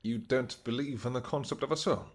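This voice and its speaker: A man playing a devoted vampire king in an intimate audio roleplay, speaking earnestly and gently in halting, formal, old-fashioned words. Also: mid-range voice, lightly acted. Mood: tender, reassuring. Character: devoted vampire king, tender, anxious, apologetic husband.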